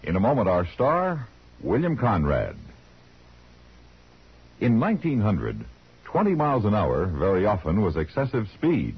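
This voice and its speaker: A man with an American accent announcing from a script on 1950s radio in a measured, formal way. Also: fairly low pitch, huge pitch swings. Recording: dull vintage radio broadcast.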